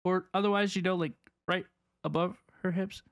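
A woman's voice: fairly low pitch, fairly even intonation, normal speed, dry recording. A young woman giving casual, slangy reaction commentary with enthusiasm, repeating words for emphasis into a close microphone. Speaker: reaction video host. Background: video game trailer music.

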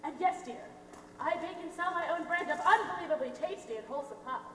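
A woman's voice: extremely high pitch, a little fast, sharp tone. A young American woman playing a pompous, gushing middle-aged mother in a stage melodrama, declaiming grandly. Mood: melodramatic, doting. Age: young adult. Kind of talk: projected stage acting. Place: theater stage, distant camera. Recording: echoey noisy hall from far off.

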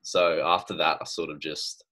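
Young Aussie man, deep low voice, relaxed and rambling, laptop mic from home. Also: moderate pace. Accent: Australian. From Australia. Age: young adult. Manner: laid-back, laughs often, trails off with fillers. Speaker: guest swimmer. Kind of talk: casual chat. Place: bedroom at home. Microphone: laptop webcam on video call.

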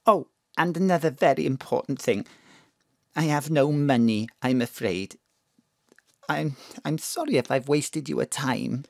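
An actress playing a distraught bereaved mother in an audio drama, halting, stammering and pleading. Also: a bass voice, creaky, no echo, studio quality, full character voice. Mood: apologetic.

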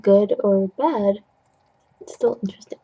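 Young husky voice, rambling art-stream chatter. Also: mid-range voice, a little slow, warm tone, lo-fi sound. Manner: chatty, self-deprecating, jokey with puns; coughs now and then. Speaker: digital art tutorial host. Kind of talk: rambling casual commentary while painting. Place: indoors at a home desk.

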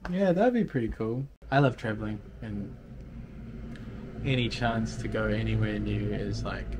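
A man of about thirty talking casually in a modest, low-key way. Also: moderate pace. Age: around 30. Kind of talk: casual chat. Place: living room and outdoor cafe. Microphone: camera at arm's length. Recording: handheld camera with faint background noise.

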